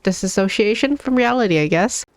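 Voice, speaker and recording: A woman's voice: medium pitch, lively intonation, brisk, natural voice. A woman voicing an anxious, fussy, mild-mannered man in a fantasy game. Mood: fretful. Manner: fretful, fussy.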